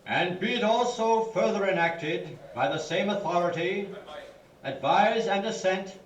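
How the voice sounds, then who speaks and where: A man reads an old legal text aloud in a formal, measured way. His voice sits high for a man and sounds dull and lo-fi. It comes through an echoey room from a distance. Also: slight background noise. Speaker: narrator of a recorded reading. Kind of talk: formal read-aloud of a historical document. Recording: dull, distant classroom playback with room echo.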